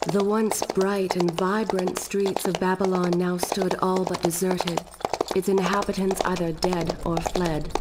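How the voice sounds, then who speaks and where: Solemn game narrator woman, kinda low, grave old-legend storytelling, lots of noise behind. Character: solemn, omniscient female storyteller of an ancient legend. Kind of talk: scripted dramatic game narration.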